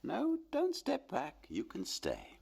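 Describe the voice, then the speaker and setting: Middle-aged painter-father for radio drama: theatrical, coaxing, domineering, highly varied intonation. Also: huge pitch swings, takes it slowly, creaky, darkish tone, husky, full character voice. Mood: amiable. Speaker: actor. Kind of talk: radio play acting.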